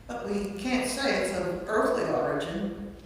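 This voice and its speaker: A woman's voice: medium pitch, lively intonation, a little slow, lightly acted. A woman acts out followers and temple officials from scripture in a staged reading. She sounds far from the microphone, washed in heavy echo and long reverb over faint noise. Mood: wary, scheming. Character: scripture crowd member, disciple and scheming temple official. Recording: distant, echoey church, faint noise.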